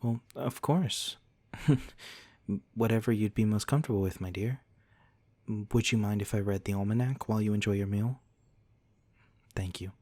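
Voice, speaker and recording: A man playing a courteous, protective vampire lord in a soft-spoken ASMR roleplay, with formal, genteel wording and a gentle, reassuring manner. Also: fairly low pitch, normal speed, long pauses, natural voice. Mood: gentle, attentive. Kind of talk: ASMR roleplay, speaking softly to the listener.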